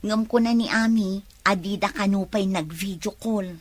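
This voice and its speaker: A woman's voice: medium pitch, moderate pace, natural voice. A woman playing a chatty, skeptical young friend in a radio drama. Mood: gossipy.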